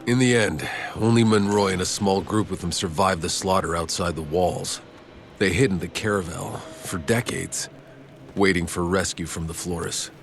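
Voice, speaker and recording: An actor playing a grim, matter-of-fact, battle-worn soldier in a video game cutscene. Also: male voice, sits low, fairly even intonation, moderate pace, creaky, a little hiss or hum.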